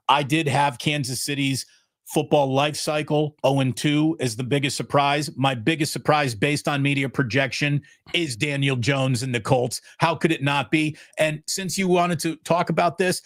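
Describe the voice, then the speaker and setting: A man's voice: mid-range voice, no echo, studio quality. A man co-hosting a sports talk show, opinionated and punchy, weighing players and cautioning against hasty conclusions. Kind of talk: sports analysis chat.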